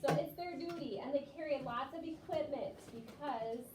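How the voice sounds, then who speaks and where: A woman's voice: sits high, moderate pace. A woman teacher talking to young children in a classroom, explaining things simply and rephrasing as she goes. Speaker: elementary school teacher. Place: classroom, away from the mic. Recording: echoey classroom from far off, clearly noisy.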